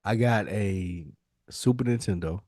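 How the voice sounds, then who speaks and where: A man's voice: lowish voice, a little slow, dark tone, dry recording, studio quality. A man in his forties telling personal stories in casual podcast chat, slangy and profane, repeating phrases for emphasis. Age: 40s. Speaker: podcast co-host.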